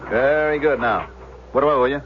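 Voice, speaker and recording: A man's voice: medium pitch, a little fast, lightly acted. An actor playing an outwardly mild, evasive man in a 1970s radio drama, speaking politely and a little hesitantly. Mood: ingratiating. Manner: polite, hesitant, smooth-talking. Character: outwardly mild, evasive husband and secret firebug. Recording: slightly noisy, dull vintage radio.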